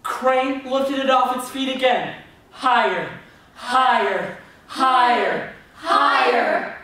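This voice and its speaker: A woman on stage playing an excitable townsperson in a readers theater drama. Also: high voice, slow, heavily acted. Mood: gripped, tense. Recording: very echoey hall from far off.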